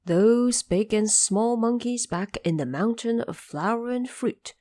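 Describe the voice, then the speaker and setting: A woman narrating an audio drama of a classic tale in an expressive storytelling manner. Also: fairly high pitch, measured pace.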